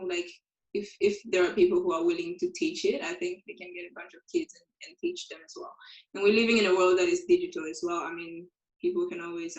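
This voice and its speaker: A woman's voice: on the low side, echoey room, dull audio. A woman from Congo, a guest language teacher, talking casually on a video call, hesitant and often repeating her words.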